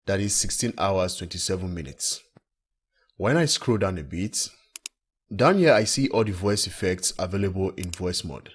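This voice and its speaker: A man with a deep, husky voice narrates a software tutorial. He explains each step plainly, as an instructor would over a screen recording. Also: lively intonation, normal speed. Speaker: tech tutorial presenter.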